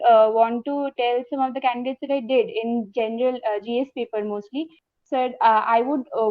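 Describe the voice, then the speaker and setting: A woman's voice: a little high, dry recording. A young woman answering interview questions over a call, hesitant with many fillers and polite to the interviewer. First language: not Hindi. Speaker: interview guest, successful exam candidate. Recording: dry tinny phone-like line.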